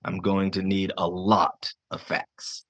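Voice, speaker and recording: An actor playing a shrewd, reluctant defense lawyer in 1950s radio style. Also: male voice, low-pitched, completely flat delivery, takes it slowly, a bit bright. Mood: brisk, reluctant.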